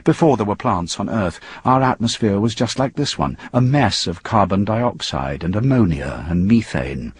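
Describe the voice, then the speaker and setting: A man's voice: on the low side, animated, normal speed, hardly any pauses, breathy edge, no echo. A man voicing a confident, impatient male scientist exploring space, with an assured, explanatory delivery in a story reading.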